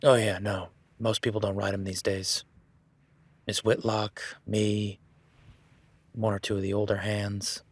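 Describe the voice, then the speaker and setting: A man's voice: fairly low pitch, level tone, unhurried, long pauses, breathy edge, no room sound. A man voicing an easygoing male cowboy ranch hand in an audio roleplay, talking in a laid-back, folksy way with casual swearing.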